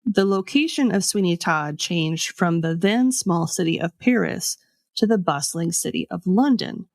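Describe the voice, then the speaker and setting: A woman podcast host telling a scripted story in a conversational way, with pauses for dramatic effect. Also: lowish voice, animated, creaky, no room sound, clean studio sound. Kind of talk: scripted storytelling with casual asides.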